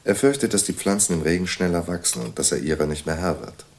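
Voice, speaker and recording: Very deep-voiced, matter-of-fact clinic doctor. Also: male voice, lively intonation, quick pace, vocal fry. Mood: matter-of-fact, wry. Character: male psychiatric clinic doctor, matter-of-fact, dryly wry. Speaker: actor in an audio drama. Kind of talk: naturalistic audio drama dialogue.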